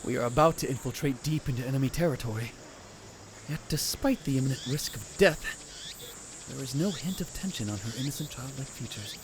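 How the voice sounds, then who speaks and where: A man voicing a dramatic young self-styled mad scientist, narrating in the first person in a hushed, grave, theatrical way. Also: medium pitch, a little fast, slight background noise, lightly acted. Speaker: narrator and lead in fan dub. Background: music.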